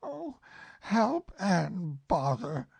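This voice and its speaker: A woman's voice: on the low side, big pitch swings, creaky, a little mellow, husky, dry recording, pitched above the performer's own voice, exaggerated voice. A woman doing a plaintive, simple teddy bear. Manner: plaintive, slow and wistful.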